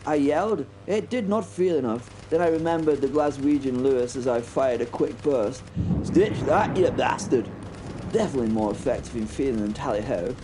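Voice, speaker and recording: An actor playing a haunted but plucky biplane pilot, telling his story in a halting, wryly understated horror audio drama monologue. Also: medium pitch, background noise, close to an everyday voice. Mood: defiant, wry. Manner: halting, repeating words, wry understatement. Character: British First World War biplane pilot, haunted, plucky, recounting battle.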